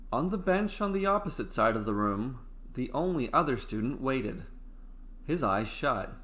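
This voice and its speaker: Male storyteller with measured, dramatic pauses. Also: mid-range voice, a bit bright. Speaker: storyteller, narrator. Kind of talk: scripted fiction narration.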